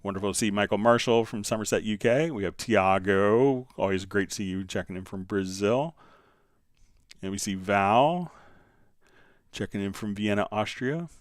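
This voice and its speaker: Male livestream instructor, lowish clean voice, conversational step-by-step delivery, close dry sound. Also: moderate pace, dry recording. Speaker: livestream host and software instructor. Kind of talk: casual live tutorial, answering viewer questions.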